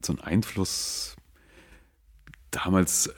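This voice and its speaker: A man's voice: low-pitched, drawn-out words. A man in his forties from northeast Germany, a graffiti artist answering interview questions in an easygoing way, loose with fillers. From Mecklenburg, northeast Germany. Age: 40s. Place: seated indoors in a city.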